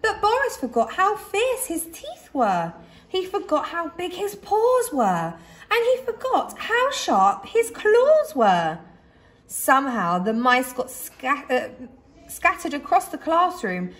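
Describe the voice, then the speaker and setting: A woman reading a children's story aloud expressively, like a teacher, with dramatic pauses and emphasis. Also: extremely high pitch, sing-song, fairly bright tone.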